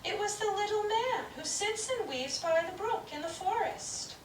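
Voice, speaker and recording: A woman voicing an eager, kind little girl in a puppet show, in a high, sweet voice. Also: lively intonation, moderate pace. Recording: distant, roomy, noisy room.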